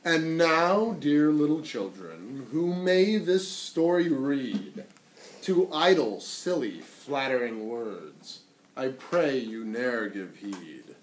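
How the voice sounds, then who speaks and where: A man reading a storybook aloud to children in a dramatic, theatrical and deliberate way. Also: mid-range voice, expressive. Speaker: story reader. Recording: echoey, noisy room, distant mic.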